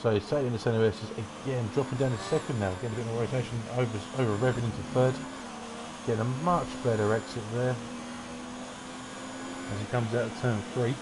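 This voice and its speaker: A man giving relaxed, rambling commentary on an online racing qualifying session. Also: mid-range voice, brisk, heavy background noise. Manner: relaxed, rambling, self-deprecating asides. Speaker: race commentator.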